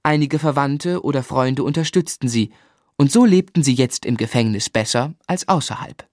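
An actor playing a grown man narrating memories of his hard boyhood in a measured, reflective literary style. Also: medium pitch, a little mellow, no room sound, studio quality, close to an everyday voice.

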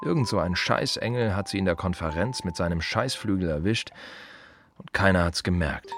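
An actor playing a blunt, brooding gravedigger in a radio drama monologue, speaking colloquially in short, repeated, emphatic phrases. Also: male voice, low-pitched. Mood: bitter, angry. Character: blunt, brooding male gravedigger musing on life and death.

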